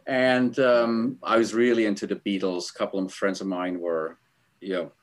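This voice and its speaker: Rambling, lower-voiced Swiss-born man. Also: little pitch movement, normal speed. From Switzerland. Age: middle-aged. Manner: rambling, filler-heavy, laughs while telling stories. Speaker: guest, album art director. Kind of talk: casual interview storytelling.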